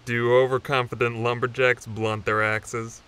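A man's voice: medium pitch, level tone, a little slow, a bit bright, clear, resonant tone, lightly acted. A man voicing a giant know-it-all blue ox in an audio drama, speaking in a precise, bookish, pedantic way. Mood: pedantic, skeptical.

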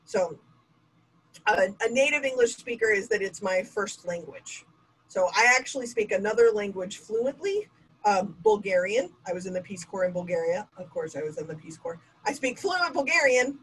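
A woman's voice: a little high, very animated, normal speed, breathy edge, poor audio. A woman in her forties leading a diversity webinar over a video call, talking candidly with self-mocking asides. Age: 40s. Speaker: diversity trainer. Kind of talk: webinar lecture. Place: home room with bookshelf and bokeh lights. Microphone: webcam video call.

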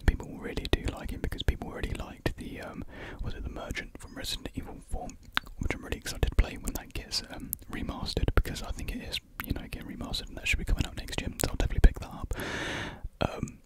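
A man's voice: extremely high pitch, fairly even intonation, quick pace. Young man whispering ASMR. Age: young adult. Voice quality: breathy unvoiced whisper. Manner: soft, rambling, hesitant. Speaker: ASMR video creator. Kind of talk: ASMR whispered casual ramble about video games. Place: small room with painted block walls. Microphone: large desktop USB condenser microphone, close up.

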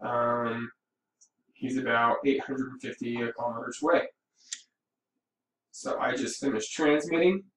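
A man giving a casual, explanatory software walkthrough as a hobby radio operator, thinking aloud as he goes. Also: medium pitch, a little slow, long pauses. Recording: roomy, distant mic with background noise.

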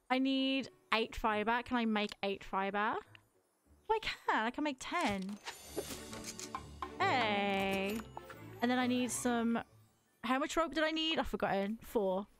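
Chatty woman streamer with a cold. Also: on the high side. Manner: chatty, self-talking, giggly asides. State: has a mild cold, a little tired. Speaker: livestreamer. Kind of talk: casual gameplay commentary.

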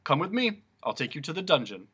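A man's voice: fairly high pitch, sing-song, normal speed, pitched above the performer's own voice. A man voicing a blustering, self-important male policeman who is also judge and jailer. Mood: pompous, booming. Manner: loud self-important proclamations.

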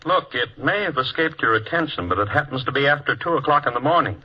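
Actor as weary store manager, radio play: male, mid-pitched, husky, curt, sarcastic, dull vintage sound. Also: animated, fast, natural voice. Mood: irritated, sarcastic. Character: tired, irritable male shop manager. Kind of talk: 1950s radio drama acting. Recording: muffled old radio recording.

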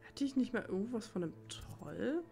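Creaky-voiced woman musing over a game. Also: medium pitch, expressive, normal speed, dark tone, faint music behind. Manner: musing, thinking aloud to herself. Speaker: let's play gamer. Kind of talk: casual gameplay commentary.

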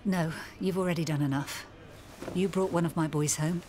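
An actress playing a brisk, scolding middle-aged British landlady and mother in a radio soap, colloquial and no-nonsense. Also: on the low side, fairly even intonation, warm tone, a little hiss or hum, lightly acted. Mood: worried, bossy. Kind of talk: naturalistic radio soap acting.

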